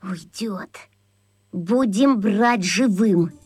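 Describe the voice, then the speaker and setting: A woman's voice: medium pitch, drawn-out words, darkish tone, soft background music. An actress playing a self-important, imaginative young boy detective in a children's radio play, muttering earnestly like a spy-catcher. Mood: smug, conspiratorial.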